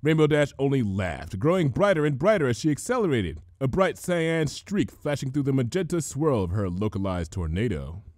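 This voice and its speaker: A man reading a comic adventure story aloud as an expressive scripted narration. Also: mid-range voice, moderate pace, hardly any pauses, no echo, studio quality. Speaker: fan fiction reader, narrator.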